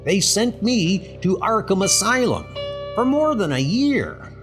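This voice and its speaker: A man plays a streetwise gangster boss from a comic book, haunted and on edge. The delivery is blunt and agitated, with pitch that swings widely. Music plays underneath. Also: very animated, a little slow. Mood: haunted, frantic. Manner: blunt, streetwise, increasingly frantic. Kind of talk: amateur comic dub acting. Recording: music behind the voice.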